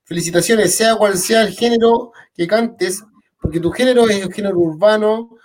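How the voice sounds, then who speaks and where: Male interview host, Chilean accent, high pitch, wordy conversational delivery. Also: normal speed. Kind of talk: casual interview talk.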